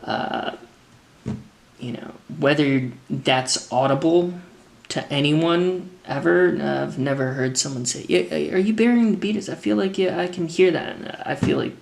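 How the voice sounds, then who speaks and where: An American man in his thirties talking casually and unscripted, thinking through his answers as a drummer with frequent halting pauses, recorded indoors at a table. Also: a little high, gravelly. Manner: halting, thoughtful, pausing to formulate answers. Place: indoors, open kitchen-dining room at a table. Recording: echoey room.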